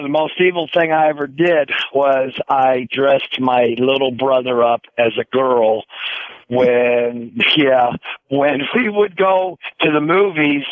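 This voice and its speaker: A man's voice: medium pitch, fairly even intonation, takes it slowly, dry recording. A man calling a radio show by phone, casually telling an anecdote in an amused way. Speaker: call-in show caller. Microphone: telephone. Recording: tinny, narrow phone line on radio.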